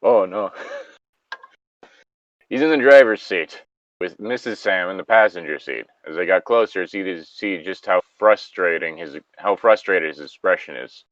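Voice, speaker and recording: A low-voiced man reads a story aloud with very lively intonation. He drops in chatty, joking asides as he goes. The sound is close and dry, a little dull and lo-fi. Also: measured pace, dry recording. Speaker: co-host reading stories aloud. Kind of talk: story reading with casual banter.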